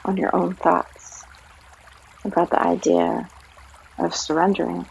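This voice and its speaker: Slow, very creaky woman guiding meditation. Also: fairly low pitch, very animated, slight background noise. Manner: gentle, unhurried, long pauses between phrases. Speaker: yoga and meditation guide. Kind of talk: guided meditation, soothing narration. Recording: slightly dull sound, trickling stream water behind. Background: flowing stream water.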